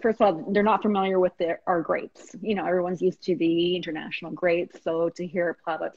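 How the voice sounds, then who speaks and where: A woman's voice: on the low side, steady tone, a little fast, darkish tone, slightly rough, muffled recording. A woman from Canada whose first language was Croatian, hosting a casual chat with enthusiastic, chatty talk full of "you know" and "right?". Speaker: host.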